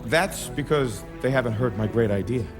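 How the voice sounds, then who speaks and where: An actor playing an eager, self-assured military tech expert explaining things in a video game. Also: male voice, mid-range voice, moderate pace, lightly acted. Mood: confident, explaining. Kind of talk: cinematic video game acting. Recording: game music behind.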